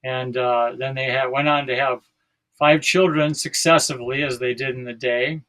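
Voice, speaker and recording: A man's voice: medium pitch, fairly even intonation, moderate pace, fairly bright tone, low-quality recording. An American man in his sixties from South Dakota, talking casually and reflectively as a podcast guest, often searching for his words. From South Dakota, United States.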